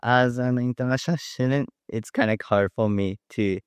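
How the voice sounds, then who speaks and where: A teenage boy with a Japanese accent, an international student answering panel questions in a halting, hesitant way. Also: medium pitch, clear, resonant tone, no echo, studio quality. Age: teens. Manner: hesitant, halting, many fillers.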